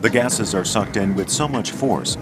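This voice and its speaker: A man narrating a scripted science documentary in a measured, explanatory way. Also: on the low side, expressive, very noisy.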